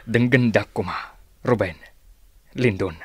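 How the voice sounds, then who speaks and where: Cautious, low-voiced fatherly uncle. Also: breathy edge, no echo. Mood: cautioning, grave. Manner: measured, admonishing. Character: cautious, fatherly older uncle advising younger men. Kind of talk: radio drama acting in Ilocano.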